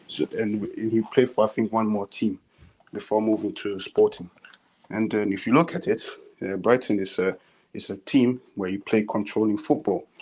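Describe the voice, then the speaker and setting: A man's voice: lowish voice, low-quality recording. A man from Sweden with a Swedish accent, calling in to a podcast and giving his view hesitantly. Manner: hesitant, many fillers and restarts. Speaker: caller. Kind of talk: casual call-in opinion. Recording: faint background noise on call.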